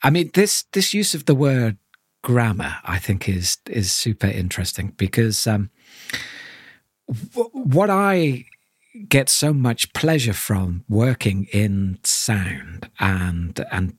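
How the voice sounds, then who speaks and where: Husky man, reflective podcast talk, dry sound. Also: lowish voice, animated, slow, dry recording. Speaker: podcast co-host. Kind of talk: casual analytical discussion.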